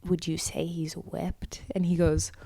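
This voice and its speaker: A woman in her twenties co-hosting a podcast in casual chat, animated, swearing freely and acting out what others said. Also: lowish voice, measured pace, dry recording. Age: 20s. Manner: animated, swears freely, laughs often, acts out quotes. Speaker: podcast co-host.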